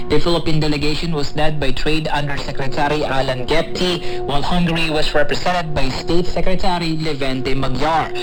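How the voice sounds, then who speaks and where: Male Filipino news presenter, slightly high register, formal scripted read, heard through shortwave radio. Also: low-quality recording. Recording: shortwave radio broadcast received via web receiver.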